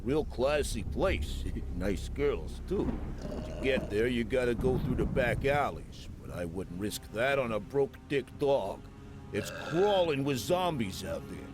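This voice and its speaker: Streetwise, world-weary shopkeeper, mid-pitched. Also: male voice, big pitch swings, measured pace, over music. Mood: wry, cautioning. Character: streetwise, world-weary pawn shop owner, gruffly friendly. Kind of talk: video game cutscene dialogue.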